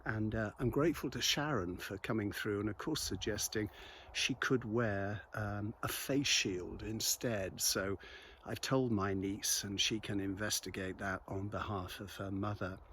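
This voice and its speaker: An older man talks casually and reflectively in a halting monologue, as a local vlogger out on a walk. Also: fairly low pitch, fairly bright tone, a little hiss or hum. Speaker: local video blogger and commentator. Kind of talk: casual spoken monologue to an audience while out walking.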